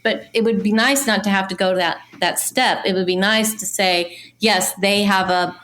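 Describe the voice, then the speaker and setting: A woman talking casually about her industry in an animated, conversational way. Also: mid-range voice, normal speed, slight background noise. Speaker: voice casting professional.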